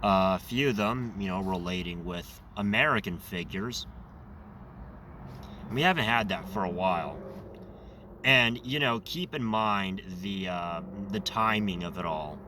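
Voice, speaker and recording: A man hosting his own channel, talking in a loose, rambling monologue with a raspy, slightly nasal voice, congested and over-caffeinated. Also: sits low, unhurried, recorded from a distance, background noise. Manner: rambling, self-correcting. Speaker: channel host. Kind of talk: casual monologue.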